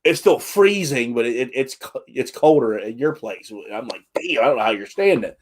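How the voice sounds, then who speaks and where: Guy hosting a livestream, average pitch, chatty, keeps restarting his sentences. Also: expressive. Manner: chatty and halting, with frequent restarts. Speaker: livestream host. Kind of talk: casual livestream chat.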